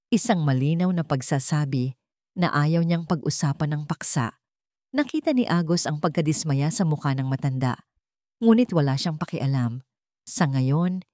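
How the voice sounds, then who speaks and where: A woman's voice: deep voice, a little mellow, no echo, studio quality. A woman with a Filipino accent reading a scripted, dramatic story as its narrator.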